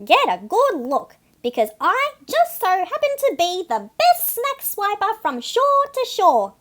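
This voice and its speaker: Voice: extremely high pitch, wildly swooping pitch, unhurried, fairly bright tone, exaggerated voice. A reader voicing a boastful, melodramatic seagull in a children's picture book, with a brash, wisecracking, theatrical delivery. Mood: smug, boastful. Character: boastful, melodramatic cartoon seagull, streetwise snack thief. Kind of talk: animated picture-book read-aloud for children.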